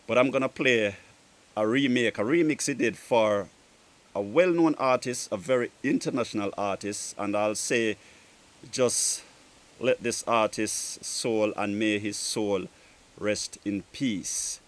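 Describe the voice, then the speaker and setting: A man presenting a music show outdoors in a garden, casually giving friendly shout-outs in drawn-out phrases. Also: on the low side. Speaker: DJ and show host.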